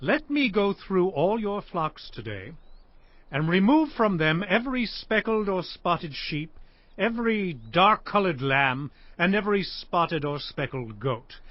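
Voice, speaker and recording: An earnest, high-voiced biblical shepherd. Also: male voice, big pitch swings, unhurried, no room sound, highs cut off. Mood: firm, bargaining. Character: Jacob, earnest hardworking biblical shepherd and husband. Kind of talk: dramatized audio Bible acting.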